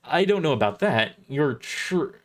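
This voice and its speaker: A man's voice: a little high, sing-song, unhurried. A man voicing a timid, polite teenage boy acolyte with a hesitant, stammering manner in an audio story. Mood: hesitant, flustered.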